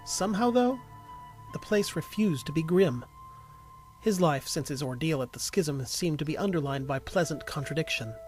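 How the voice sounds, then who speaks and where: Higher-voiced male storyteller over music. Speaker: audiobook narrator and voice actor. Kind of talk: scripted story narration. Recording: music behind the voice.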